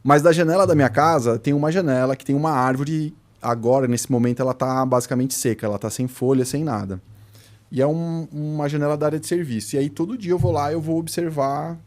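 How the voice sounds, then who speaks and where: A man's voice: fairly high pitch, fairly quick, darkish tone. A man chatting informally and explaining things as a podcast host. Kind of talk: casual podcast chat.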